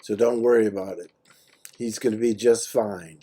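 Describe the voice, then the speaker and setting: Low, mellow-voiced American man on the phone. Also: steady tone, normal speed, slightly rough. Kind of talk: casual personal phone chat. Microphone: phone call.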